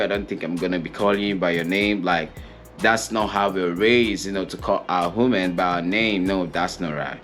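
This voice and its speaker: Young Nigerian man, deep voice, sincere unhurried delivery, soft music bed. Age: 20s. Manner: earnest, heartfelt, unhurried. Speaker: co-host. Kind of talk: casual chat.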